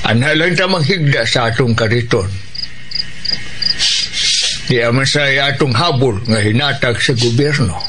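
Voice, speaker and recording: An actor playing a gentle old widower who lives at a dumpsite, speaking hesitantly with a Filipino Bisaya accent in a radio drama. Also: male voice, medium pitch, noisy background, close to an everyday voice. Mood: fond, reflective. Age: older. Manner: halting, hesitant delivery. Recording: music behind the voice.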